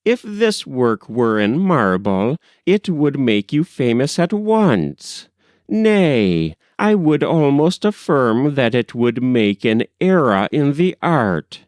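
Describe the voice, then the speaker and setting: A man voicing an earnest, admiring and refined gentleman artist from a storybook, speaking formally and emphatically. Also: medium pitch, very animated, slow, no echo, pitched above the performer's own voice. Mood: earnest praise. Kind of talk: storybook character reading.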